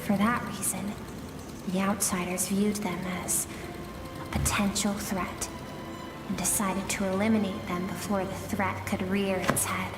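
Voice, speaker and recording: An actress playing a grave, sorrowful woman in a video game, recounting a tragic past in measured, somber tones. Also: mid-range voice, level tone, close to an everyday voice. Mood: grave, explanatory. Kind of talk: solemn dramatic storytelling. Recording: echoey long reverb with game music behind.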